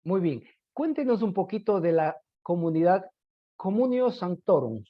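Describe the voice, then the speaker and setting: Man, Ecuadorian accent, radio host, high-pitched voice, formal and courteous, dry slightly dull lo-fi sound. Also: sing-song, measured pace, dry recording. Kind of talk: scripted radio presenting and interviewing.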